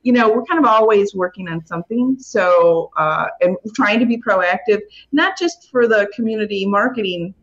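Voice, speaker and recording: A woman's voice: medium pitch, lively intonation, moderate pace, hardly any pauses, dull audio. A woman speaking as a guest in a casual interview, with an informal, conversational manner. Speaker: interview guest, community awareness team member.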